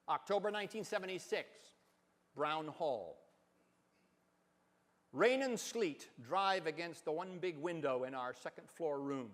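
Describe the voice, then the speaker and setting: A man with a fairly high voice reads literature aloud in a reverberant hall. His phrasing is deliberate and theatrical, swelling for emphasis as in a staged dramatic reading. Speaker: professor giving a dramatic reading.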